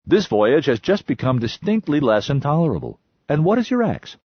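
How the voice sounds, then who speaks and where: A loud, smirking genius fires off sardonic quips, his voice riding high and swinging wide, as a male narrator plays him. it is husky and dry. the recording sounds a little dull. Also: big pitch swings, no room sound. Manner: loud, sardonic, quick wordplay.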